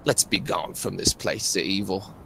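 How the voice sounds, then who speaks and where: A man's voice: low-pitched, normal speed, sharp tone, voice pushed down, full character voice. Man putting on a solemn scripture-declaiming preacher, noisy recording. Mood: grim, resolute. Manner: solemn, declaiming Bible verses. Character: resolute scripture-quoting male preacher with a shotgun. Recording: clearly noisy live stream.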